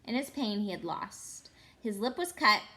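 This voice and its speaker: A woman storyteller reading a children's novel aloud with expressive, dramatic emphasis. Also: medium pitch, measured pace. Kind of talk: expressive children's audiobook narration.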